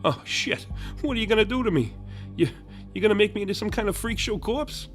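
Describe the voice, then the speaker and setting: A high-pitched cowed killer bus driver from a horror audio drama. His voice jumps wildly up and down as he stammers and swears in rough, uneducated speech. Loud music plays behind him. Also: expressive, fairly quick. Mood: panicked. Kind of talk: horror audio fiction acting.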